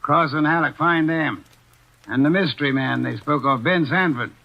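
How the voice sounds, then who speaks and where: A man's voice: a little high, moderate pace, creaky. A man playing a stout, imperious male detective in a 1940s radio drama, precise and commanding, on a dull old recording.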